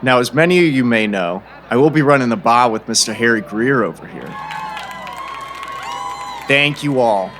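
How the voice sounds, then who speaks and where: A man playing a self-promoting small-town shopkeeper in an audio drama, making a showy, eager sales pitch. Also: expressive, normal speed, pauses often, a bit bright, a faint crowd, a little hiss or hum, natural voice. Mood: smug, salesy.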